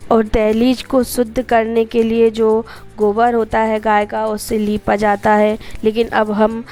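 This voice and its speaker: A woman's voice: a little high, monotone, unhurried, darkish tone, soft background music. Homely chatty woman with a cold. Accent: Hindi, from Madhya Pradesh. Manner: chatty, homely, rambling asides to viewers. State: hoarse from a cold. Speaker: vlogger. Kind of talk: casual voice-over narration of a daily routine.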